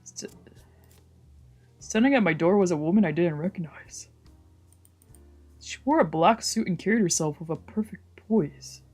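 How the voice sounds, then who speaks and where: A woman's voice: on the low side, moderate pace, long pauses, a little mellow, faint music behind. A woman streamer reading a visual novel aloud as narration, with casual commentary of her own.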